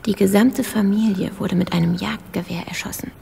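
An actress playing a secretive, guilt-ridden informant in a radio play, with a breathy, half-whispered, gravelly voice and a halting, cryptic manner. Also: female voice, mid-range voice, steady tone, mellow. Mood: hushed, insistent. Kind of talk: German radio play acting. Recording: reverberant hall, faint background noise.

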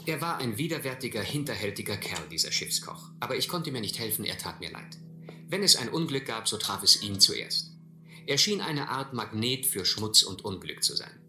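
Reflective narrator, very bright voice. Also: male voice, fairly low pitch, sing-song, faint music behind, exaggerated voice. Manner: measured, literate storytelling. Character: reflective educated seaman recalling his voyage. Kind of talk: scripted radio play narration. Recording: echoey old recording with faint noise.

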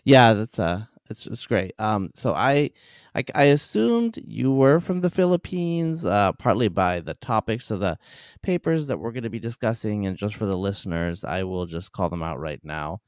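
Male podcast host, low smooth voice, dry close sound, hesitant casual delivery. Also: big pitch swings, normal speed, dry recording. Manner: hesitant, many fillers and restarts. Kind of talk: casual interview.

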